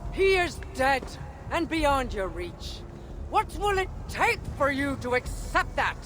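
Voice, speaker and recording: A haughty underworld queen, speaks slowly and with wide swings of pitch. She is imperious and menacing, taunting as in a game cutscene, over loud background noise. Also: very animated, full character voice. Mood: taunting, cold. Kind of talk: dramatic video game cutscene acting.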